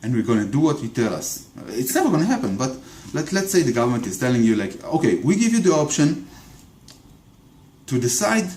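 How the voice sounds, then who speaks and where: A man's voice: medium pitch, big pitch swings, brisk, darkish tone, a little hiss or hum. Hesitant Israeli man in an echoey room. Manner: hesitant, many repeated words and fillers. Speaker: video commentator. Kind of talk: unscripted political monologue.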